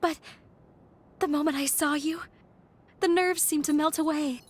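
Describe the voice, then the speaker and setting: An actress playing a gentle young woman fighter in a game cutscene, sincere and heartfelt. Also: high-pitched, steady tone, unhurried. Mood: earnest, tender. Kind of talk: dramatic game cutscene dialogue.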